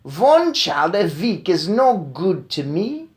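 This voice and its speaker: A woman voicing the furious Grand High Witch, an old witch queen who yells and shrieks her words in drawn-out bursts. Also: sing-song. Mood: shrieking rage.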